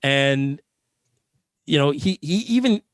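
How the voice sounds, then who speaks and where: A man's voice: a little high, expressive, slow, smooth, no room sound, clean studio sound. A Canadian man answering as a guest in a conversational interview, earnest and emphatic, repeating phrases for stress. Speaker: sports arena PA announcer being interviewed.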